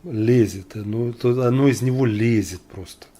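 A man's voice: mid-range voice, moderate pace. A man from Ukraine arguing on a video chat stream, blunt and mocking with sarcastic asides. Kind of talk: argumentative online video chat.

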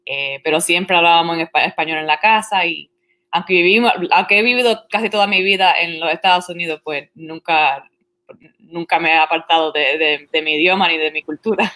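A woman's voice: on the low side, brisk, sharp tone. A woman from Puerto Rico, a journalist guest chatting in an interview, who often restarts her sentences and slips English words into her Spanish. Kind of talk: casual interview conversation.

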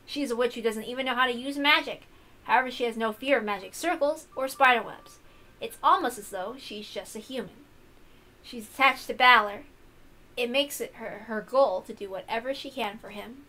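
A woman's voice: a little high, moderate pace, pushed and bright. A woman reading a visual novel aloud as scripted, dramatic narration.

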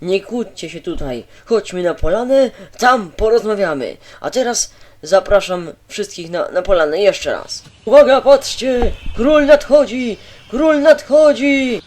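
A man voicing an excited royal crier who rallies the people in an amateur radio play. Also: high voice, a little slow, voice pushed up. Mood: urgent, calling out.

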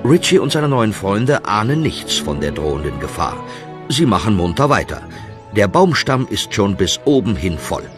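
A man with a very low voice narrates a story for children. His delivery is dramatic and swings widely in pitch, with music playing behind him. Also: sing-song, moderate pace. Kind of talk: children's audio play narration.

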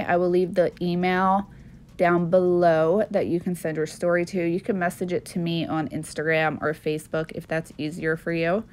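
A woman's voice: fairly low pitch, a little mellow. A young woman from Maryland chatting earnestly to camera as a nail channel host, a bit sniffly from allergies. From Maryland, USA. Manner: chatty, earnest, with frequent fillers. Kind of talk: casual chat to camera.

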